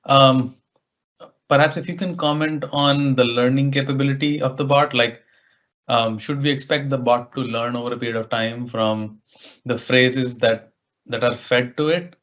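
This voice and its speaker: A man's voice: medium pitch, fairly even intonation, normal speed, fairly bright tone. A man moderating a webinar question session over an online call, putting questions politely and tentatively. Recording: dull, lo-fi online call audio.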